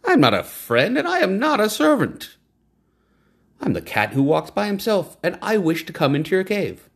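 A sly storybook cat pleads in a raised, coaxing voice with a gravelly edge and a lively lilt, as a male narrator puts him on. He sounds proud even as he begs. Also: high-pitched, normal speed, pitched above the performer's own voice, exaggerated voice.

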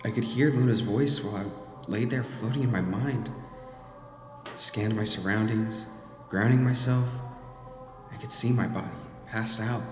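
A man's voice: on the low side, unhurried, long pauses, close to an everyday voice. Horror audio drama: male actor as troubled man under a cursed mask's hold, dramatic confessional delivery, heavy hall reverb, music underneath. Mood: haunted. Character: troubled man narrating his possession by a cursed mask. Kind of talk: first-person horror audio drama narration.

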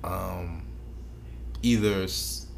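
A low-pitched American man chats as a podcast co-host. His delivery is informal and slangy, with some swearing. There is faint noise in the recording. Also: drawn-out words, gravelly. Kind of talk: casual chat.

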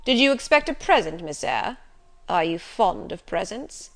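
A woman voicing a gruff, brusque, middle-aged master of the house in an audiobook, curt and commanding. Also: sits high, big pitch swings, moderate pace, a bit bright. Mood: gruff, challenging.

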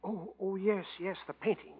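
Meek older husband, stammers, husky, tinny old radio sound. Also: male voice, sits high, moderate pace, heavily acted. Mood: timid. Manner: hesitant, stammering. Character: meek older man, dull and devoted. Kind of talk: 1940s radio acting.

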